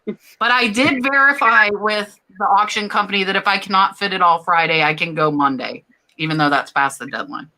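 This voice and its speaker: A woman's voice: medium pitch, moderate pace, pushed and bright, poor audio. A woman from Florida hosting a live stream chat, talking casually, laughing and reading out viewer comments. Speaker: host.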